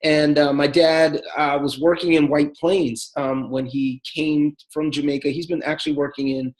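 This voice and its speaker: A man tells his story as a pastor, loose and conversational. His voice sits a little higher than most men's. He keeps it easygoing, with plenty of ums and little laughs. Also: normal speed. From the Bronx, New York City. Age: 40s. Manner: relaxed and chatty, many fillers, laughs between thoughts. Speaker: pastor, guest. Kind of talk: casual personal storytelling.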